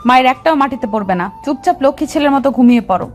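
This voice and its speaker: A woman's voice: high-pitched, lively intonation, very fast, faint music behind. A woman playing a bossy, teasing young sweetheart in a romantic audio story, scolding and coy. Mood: playfully bossy.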